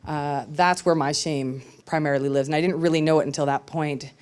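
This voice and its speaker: Brief: woman storyteller on stage, slightly low voice, candid and reflective, halting now and then. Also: steady tone, darkish tone, smooth. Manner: candid, reflective, with frequent hesitations. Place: live storytelling event with audience.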